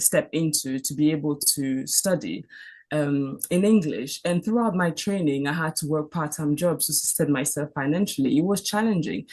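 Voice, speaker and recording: Low-voiced woman. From Cameroon. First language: French. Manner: earnest, with frequent restarts and repeated words. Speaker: physician on a career panel. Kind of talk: career advice presentation.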